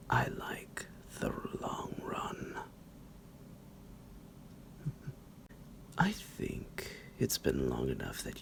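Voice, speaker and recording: A man playing a psychotic vampire doctor in an ASMR roleplay, smug and menacing, with a slow, theatrical, teasing delivery in a gravelly half-whisper. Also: heavily acted. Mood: menacing, mock-tender. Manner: slow, drawn-out, theatrical, halting, with low chuckles.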